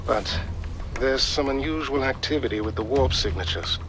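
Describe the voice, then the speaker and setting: An actor playing an analytical military support officer in a video game, giving formal, precise mission updates. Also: male voice, mid-range voice, moderate pace, a bit bright, soft background music, natural voice. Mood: urgent.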